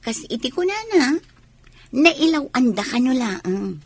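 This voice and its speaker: A woman's voice: high voice, very animated, a little slow, slightly rough, no room sound, exaggerated voice. An actress with a Filipino accent playing a lovestruck, stubborn young woman in a radio drama. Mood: earnest, insistent. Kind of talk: Ilocano radio drama acting.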